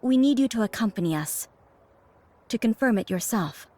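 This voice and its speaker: An actress playing a composed, dutiful female escort in a video game, speaking politely in a measured, formal way. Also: fairly high pitch, expressive, a little mellow. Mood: steady reassurance. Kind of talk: game cutscene acting.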